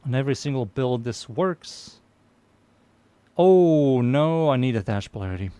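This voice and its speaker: A young man with a Serbian accent talks his way through a live game stream, half to himself and half to his chat. His voice sits at an ordinary middle pitch, and his tone stays loose and unhurried. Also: expressive, mellow, smooth, no echo. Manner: mutters to himself, reads chat aloud, easygoing. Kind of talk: casual live-stream chatter while playing.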